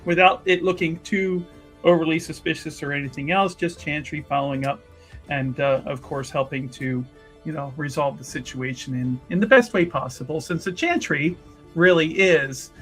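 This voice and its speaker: A man playing a tabletop roleplaying game, casually improvising in a wordy, hesitant way with careful pauses. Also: on the high side, a bit bright, soft background music. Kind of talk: casual improvised in-character recap and questions.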